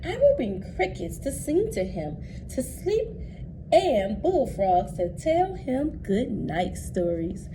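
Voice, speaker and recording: Woman reading as an eager young boy, slow, animated. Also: fairly high pitch, voice pushed up. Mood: eager, dreamy. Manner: earnest, deliberate storybook reading. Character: eager young boy who loves nature, storybook character. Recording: clearly noisy background.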